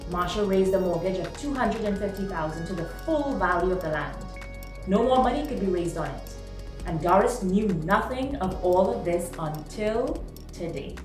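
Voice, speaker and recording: A woman reading a short story aloud in a dramatic, expressive narrating style. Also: fairly low pitch, normal speed. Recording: roomy, distant mic, music behind. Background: music.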